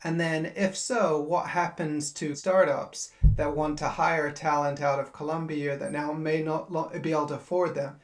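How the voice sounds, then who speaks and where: A man's voice: a little high, level tone, moderate pace, few pauses. A man hosting a business podcast, asking long, carefully framed questions. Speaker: podcast host.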